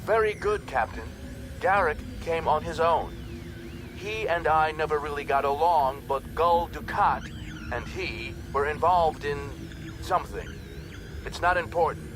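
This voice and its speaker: A man's voice: a little high, a little slow, background noise, pitched above the performer's own voice, lightly acted. A man voicing a harsh, commanding female alien scientist in an audiobook, clipped and explanatory. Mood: grim, businesslike. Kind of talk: audiobook character acting.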